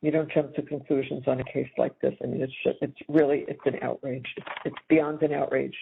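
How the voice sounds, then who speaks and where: A woman's voice: rumbling low, flat delivery, a little fast. A woman in her seventies talking casually on a phone call in an indignant, emphatic way. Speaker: mother on a call with her son. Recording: creaky, noisy tinny phone line.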